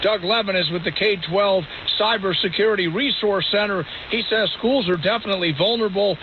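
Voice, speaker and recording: A man's voice: high voice, fairly quick. A man giving a live news report as a field reporter, over a muffled, hissy radio link.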